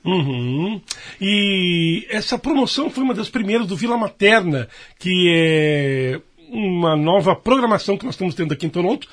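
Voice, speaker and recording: Guy hosting an interview show, kinda raspy, pretty high voice. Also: animated, normal speed, a bit bright. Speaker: radio programme host. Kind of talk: live broadcast interview.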